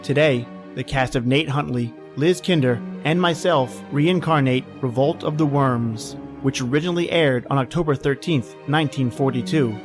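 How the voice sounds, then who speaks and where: A man's voice: mid-range voice, normal speed. A man hosting a podcast, delivering a scripted, spooky introduction to an old-time horror tale. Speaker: podcast host. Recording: music under the voice. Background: music.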